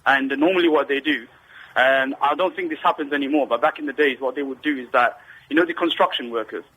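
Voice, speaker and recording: A man's voice: medium pitch, fast, sharp tone, slight background noise. A man calling in to a radio phone-in, telling a long story with many hesitations and restarts. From Britain. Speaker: caller. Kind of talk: phone-in call. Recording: phone line.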